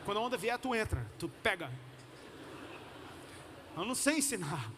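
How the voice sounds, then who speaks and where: A man's voice: high-pitched, big pitch swings, moderate pace. A man with a Brazilian Portuguese accent preaching in a colloquial, slangy way, telling personal anecdotes to a congregation. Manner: colloquial, slangy, gets listeners to repeat phrases. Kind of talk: conversational sermon with personal anecdotes. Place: large hall, preaching to a congregation. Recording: echoey hall with noticeable background noise.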